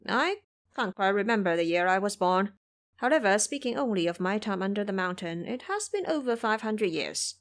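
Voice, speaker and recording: Woman voicing brash, cheeky monkey sage, swooping pitch. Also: normal speed, natural voice. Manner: boastful, irreverent, animated.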